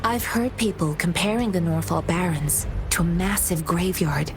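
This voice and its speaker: An actress playing a gentle young female disciple in a video game, polite and solemn in manner. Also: on the low side, normal speed, over music, lightly acted. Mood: solemn, reverent. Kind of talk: scripted video game dialogue.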